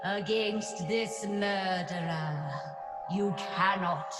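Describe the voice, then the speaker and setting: Very slow, echoing, vengeful ghost. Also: female voice, medium pitch, loud music behind the voice. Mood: ominous, vengeful. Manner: ominous, drawn-out incantation. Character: ghostly, vengeful female spirit, ominous and incantatory. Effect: long eerie reverb. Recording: echoey, long reverb.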